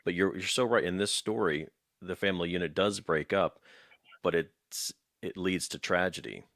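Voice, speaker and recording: Deep-voiced man interviewing, dry close sound. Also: normal speed, fairly bright tone, no room sound. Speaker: interviewer, host. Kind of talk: casual interview conversation.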